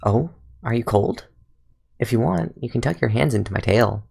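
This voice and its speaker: Fan game dub: a young man as a calm, sardonic fox-eared ranger scholar with a gravelly, fry-laden tone. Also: medium pitch, normal speed, exaggerated voice. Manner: dry, sardonic, with soft musing asides. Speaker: fan voice actor. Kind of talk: fan redub of game voice lines.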